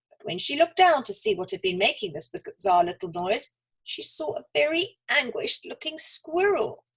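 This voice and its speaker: A woman's voice: on the high side, slightly rough, low-quality recording. A woman reading a children's story aloud as a storyteller. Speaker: school storyteller.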